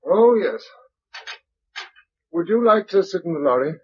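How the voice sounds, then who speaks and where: An actor playing a kindly, steady English survivor in a radio drama, speaking in a measured, understated way. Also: male voice, sits high, exaggerated voice. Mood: gentle, reassuring. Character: kindly, steady male survivor narrating his journey. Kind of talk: radio drama narration and dialogue. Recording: dull radio recording, clear background noise.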